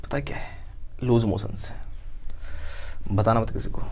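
A man's voice: fairly low pitch, unhurried, a crowd in the background. A man in his thirties teaching a spoken English lesson, acting out little everyday scenes as he goes. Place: dark studio.

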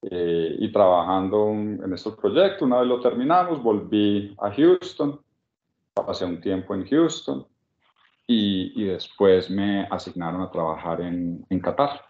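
A Colombian man in his forties with a very low voice, speaking from a small room that sounds echoey. He tells his story in a relaxed, halting way full of pauses, and the webcam audio comes through a bit dull. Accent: Colombian, from Medellín. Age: 40s. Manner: relaxed, with many restarts and "eh" pauses. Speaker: guest speaker at a workshop. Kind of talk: personal story in a video call. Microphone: webcam on a video call.

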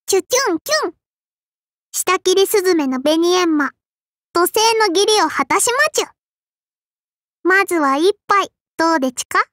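A woman's voice: very high-pitched, normal speed, pauses often, fairly bright tone, dry recording, studio quality. A woman voicing a tiny, prim and strict sparrow girl innkeeper with a childlike lisp and a cutesy verbal tic, in an anime mobile game. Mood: prim, cheerful. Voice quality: childlike, lisping. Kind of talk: Japanese anime mobile game character voice lines.

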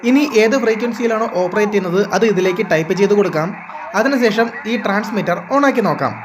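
A man's voice: high-pitched, lively intonation, fast, background noise. Man narrating an electronics tutorial.

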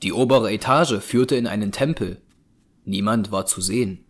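A man narrating a scripted audio drama as a measured storyteller. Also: lowish voice. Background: music.

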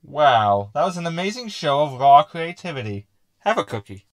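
A man's voice: fairly high pitch, big pitch swings, normal speed, no room sound. A man playing a snide, teasing crewmate with a sarcastic manner in a sci-fi comedy audio drama. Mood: mocking. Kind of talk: sitcom audio drama acting.

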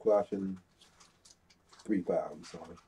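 Slow, dark-voiced stuffy man. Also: sits low, fairly even intonation, slightly rough. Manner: slow, deliberate reading with pauses, stuffy-nosed. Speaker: Bible teacher. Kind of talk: reading scripture aloud. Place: small room before a brick wall. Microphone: fixed camera, head and shoulders. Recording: dull lo-fi sound.

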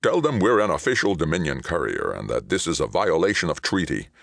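A man's voice: a bass voice, expressive, normal speed, vocal fry, no room sound, studio quality, heavily acted. A man voicing a hostile, curt government official in command, snapping in audiobook dialogue. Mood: tight, curt.

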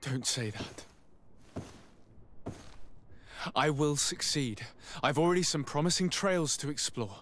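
A man's voice: on the high side, expressive, moderate pace, a bit bright, slight background noise. A young man playing an earnest, loyal noble envoy in a video game, speaking formally. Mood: reassuring. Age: young adult. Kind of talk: scripted video game acting.

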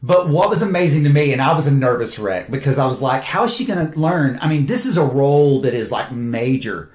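A man's voice: mid-range voice, a little fast. A man, a film director talking enthusiastically about his cast in an interview. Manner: enthusiastic, animated storytelling with quoted asides. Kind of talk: casual interview talk. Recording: roomy echo.

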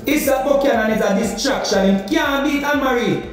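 A middle-aged Jamaican man rants about politics into a phone held out at arm's length, repeating himself and firing off rhetorical questions. His high voice sounds a little distant from the mic. The room echoes heavily, with faint music underneath. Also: a little fast. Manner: insistent, rhetorical questions, emphatic repetition. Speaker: political vlogger. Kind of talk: political commentary rant. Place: indoor kitchen or room.

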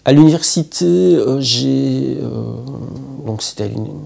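Man, fifties, husky, hesitant interview talk, indoors at home. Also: mid-range voice, a little mellow. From Belgium. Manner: reflective, halting, frequent hesitations. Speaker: poet and literature teacher. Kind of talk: interview monologue to camera. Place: indoor room with plants, at home.

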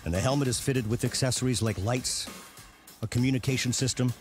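A man reading scripted television documentary narration in a measured way with dramatic emphasis. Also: mid-range voice, steady tone. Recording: music behind the voice. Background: background music.

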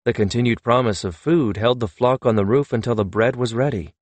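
A man's voice: medium pitch, brisk, no room sound. A man reading a fantasy novel aloud as an audiobook narrator.

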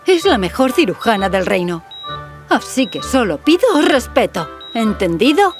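A woman voicing a stern, loyal older nurse in a cartoon fairy tale, brisk and scolding. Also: fairly high pitch, very animated, music in the background.

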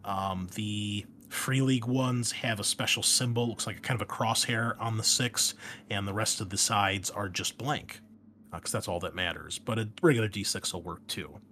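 A man with a fairly low voice gives a casual, informal explanation of game rules. He speaks directly to the listener like a host walking a newcomer through things. There is some faint noise behind his voice. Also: moderate pace, sharp tone. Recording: noticeable background noise.

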